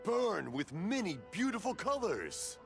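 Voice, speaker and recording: Jolly old craftsman dad, befuddled and hard of hearing, highish voice with wildly swinging intonation. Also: sing-song, unhurried, creaky, exaggerated voice. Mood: oblivious, jolly. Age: older. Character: cheerful, hard-of-hearing elderly father, proud fireworks craftsman. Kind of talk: English video game character acting. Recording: music playing behind the voice.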